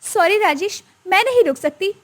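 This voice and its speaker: Woman playing a very high-voiced young daughter-in-law. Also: animated, normal speed. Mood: polite. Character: young modern daughter-in-law, earnest, outspoken, caring.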